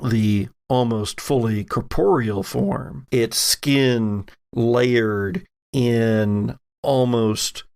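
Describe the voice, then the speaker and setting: A man with a gravelly voice narrating a tabletop horror game as game master, deliberate and dramatic in his storytelling. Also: medium pitch, darkish tone, no room sound, clean studio sound.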